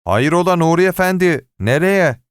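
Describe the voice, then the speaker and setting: A man voicing a friendly but bossy coal seller in an audiobook story, brisk and familiar. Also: a little high, expressive, smooth, no room sound, studio quality, voice pushed up, natural voice. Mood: curious. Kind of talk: audiobook character dialogue.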